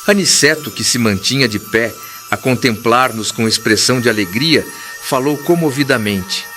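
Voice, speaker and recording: A man's voice: mid-range voice, moderate pace, pushed and bright. Solemn male narrator over music. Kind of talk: solemn literary audiobook narration. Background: clear background music.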